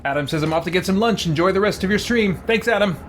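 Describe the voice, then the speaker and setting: A man's voice: sits high, quick pace, noisy background. A man streaming a game with casual roleplay commentary, musing and thinking aloud. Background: game music.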